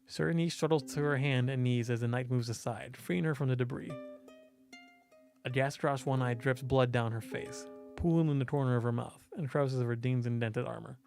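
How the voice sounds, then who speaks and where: A man's voice: medium pitch, steady tone, brisk, gravelly, a little mellow, faint music behind. A man giving a dramatic read-aloud narration of a visual novel, now and then stumbling over his words. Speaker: game let's-play host and voice actor.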